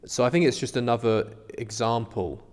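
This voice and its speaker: A man's voice: mid-range voice, normal speed. A man co-hosting a podcast, talking through ideas in a reflective, explanatory, conversational way. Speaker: podcast co-host. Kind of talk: conversational discussion. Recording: reverberant room with long echo.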